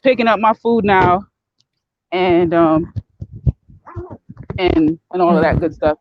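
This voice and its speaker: A man's voice: sits high, slow pace. A man in a voice chat, rambling and hesitant, with frequent fillers. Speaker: chat participant. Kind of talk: casual group chat. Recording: dull lo-fi mic with faint noise.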